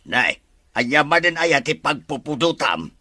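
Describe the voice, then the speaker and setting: A man with an Ilocano Filipino accent playing an excitable family man in a radio drama. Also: a little high, measured pace, no room sound, studio quality, exaggerated voice. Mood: agitated.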